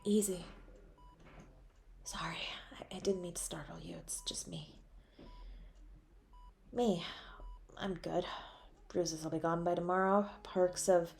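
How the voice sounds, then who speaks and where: A woman's voice: fairly low pitch, lively intonation, slow, long pauses, a little mellow, a little hiss or hum. A woman playing a devoted, guilt-ridden superhero in an intimate, emotional audio roleplay, with a pleading, tender way of speaking. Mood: hushed, apologetic. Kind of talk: dramatic audio roleplay, intimate second-person.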